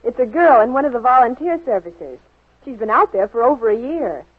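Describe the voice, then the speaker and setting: A woman's voice: on the high side, animated, brisk, slightly rough, dry recording. An American woman playing out scripted dialogue as a spokeswoman in a 1940s radio commercial. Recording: muffled, dry 1940s radio broadcast.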